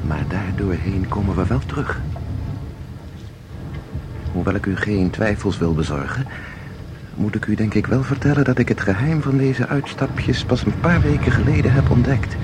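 An actor in a Dutch audio drama playing a composed, knowing guide who explains things in a measured, formal way. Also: male voice, mid-range voice, noisy background, natural voice. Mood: composed, mysterious. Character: composed, knowing male guide through eerie painted worlds.

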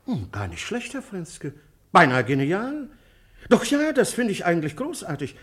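Hesitant mayor with a husky voice. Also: male voice, sits high, huge pitch swings, moderate pace, fairly bright tone, full character voice. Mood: approving. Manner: hesitant, formal. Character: hesitant, cautious town mayor, formal official. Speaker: actor. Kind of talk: German radio drama acting.

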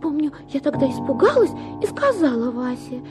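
Capricious young wife playing the invalid, extremely high voice, melodramatic sighs, radio drama over music. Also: female voice, sing-song, normal speed. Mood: dreamy, melodramatic. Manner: affected, sighing, melodramatic. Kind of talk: dramatized literary short story for radio.

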